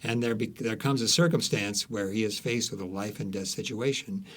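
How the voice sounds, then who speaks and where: A man's voice: fairly low pitch, moderate pace. A man speaking as an author being interviewed, telling stories conversationally with frequent false starts and repeated words.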